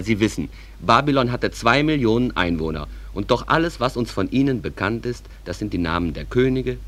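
An actor playing a calm, reflective small-town stage manager who addresses listeners in an unhurried, conversational way in a German radio drama. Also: male voice, sits low.

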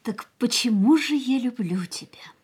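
A woman's voice: a little high, expressive, a little slow, a little mellow, husky, full character voice. An actress playing a frank, intense young woman hungry for life in a radio play, with a dramatic, searching manner. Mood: pensive.

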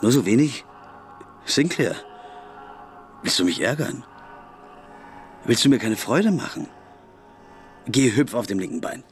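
A man's voice: medium pitch, expressive, unhurried, long pauses, faint music behind, lightly acted. An actor playing a bossy, taunting teenage boy who extorts younger kids, in a radio play. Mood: taunting, commanding. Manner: taunting, bossy, mock-generous. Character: bullying teenage boy extortionist, sneering and commanding.

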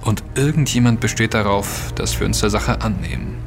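An actor playing a young student hacker in an audio drama, casual and curious, often musing aloud. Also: male voice, fairly low pitch, lively intonation, moderate pace, music in the background. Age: young adult. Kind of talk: German audio drama dialogue.

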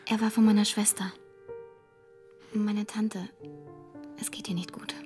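An actress playing a gentle, sorrowful young woman who narrates a radio drama in a hushed, restrained way. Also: medium pitch, flat delivery, measured pace, music in the background, close to an everyday voice. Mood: tender, sad. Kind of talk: scripted first-person radio drama narration.

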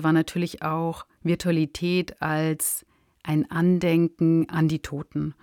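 A woman's voice: on the low side, a little mellow, dry recording, studio quality. A woman speaking conversationally as a guest in a podcast discussion.

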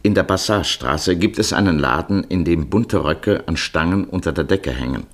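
Male radio drama narrator, deep register, measured and matter-of-fact literary read.

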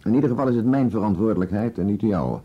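An actor with a Netherlands Dutch accent playing a stubborn, firm and curt police commissioner in a naturalistic radio play. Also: male voice, fairly low pitch, expressive, racing, breathy edge. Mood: insistent, defensive. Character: stubborn, authoritative male police commissioner, short-tempered. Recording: muffled radio drama recording.

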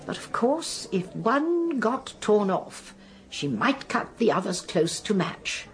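An actress playing a shrewd, elderly English spinster sleuth in a radio drama, musing and precise in manner. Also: female voice, mid-range voice, big pitch swings, slow. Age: older.

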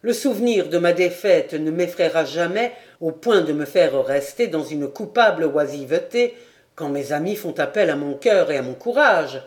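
A woman's voice: sits low, lively intonation, moderate pace. A woman voicing an earnest, resolute young nobleman who declares his feelings in a storybook reading.

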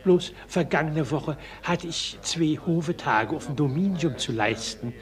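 A servile, poor weaver in a radio drama, with a somewhat high voice. He begs haltingly and with great deference, meek in every phrase. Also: animated, crowd noise. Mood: meek begging. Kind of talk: German radio play acting.

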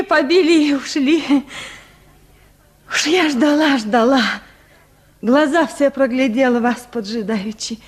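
An actress playing a meek, lovelorn peasant wife of about thirty in a radio drama, with timid, plaintive, folksy speech. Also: female voice, sits high. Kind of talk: Russian radio drama acting.

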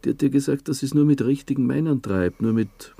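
An actor playing a menacing, taunting police inspector in a radio drama, speaking with an Austrian accent in an insinuating, domineering way. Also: male voice, mid-range voice, brisk, vocal fry, mellow, breathy edge, dry recording. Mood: goading, cruel.

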